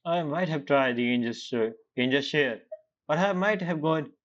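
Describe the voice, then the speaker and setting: A woman's voice: sits low, lively intonation, normal speed, dull audio. A woman giving casual reaction commentary as she plays a game, muttering short comments. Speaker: gamer playing through a story quest.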